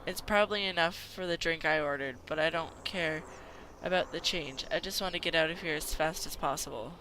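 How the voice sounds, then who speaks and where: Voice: mid-range voice, a little fast, sharp tone, slight background noise, rough recording. An amateur video game player reading a visual novel aloud dramatically, sometimes stumbling and repeating words.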